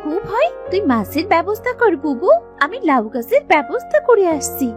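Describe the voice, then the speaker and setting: A woman voicing a stubborn, food-loving village girl in an animated moral story, childlike and insistent. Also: very high voice, normal speed, over music. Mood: cheeky, insistent.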